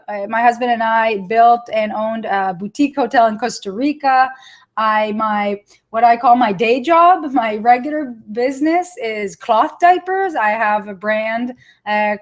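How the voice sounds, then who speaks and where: Israeli woman in her thirties, webinar trainer, chatty and warm-pitched, laughs easily. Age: 30s. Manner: chatty, laughs often, talks to viewers by name. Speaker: online marketing trainer. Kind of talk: live webinar teaching.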